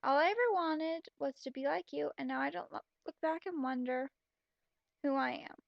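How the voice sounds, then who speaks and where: A young woman with a high-pitched voice and plenty of fry reads a story aloud and picks it apart as she goes. Her manner is sarcastic, blunt and exasperated. The sound is close and dry. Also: moderate pace, dry recording, highs cut off. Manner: exasperated, mocking, blunt asides. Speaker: commentator reading fan fiction aloud. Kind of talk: sarcastic reading with running commentary.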